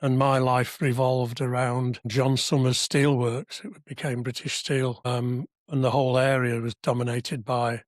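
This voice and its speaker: A man in his seventies from Wales with a slightly husky voice, chatting through memories in an anecdotal way with dry asides. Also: medium pitch, flat delivery, measured pace, few pauses, dry recording. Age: 70s. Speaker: podcast guest, civic society member. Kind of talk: conversational reminiscence. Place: podcast studio.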